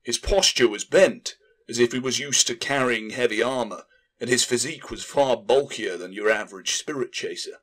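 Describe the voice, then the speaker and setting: A man narrates a fantasy audiobook in a medium-pitched voice. His delivery is scripted and dramatic, telling the tale as a storyteller. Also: normal speed, fairly bright tone.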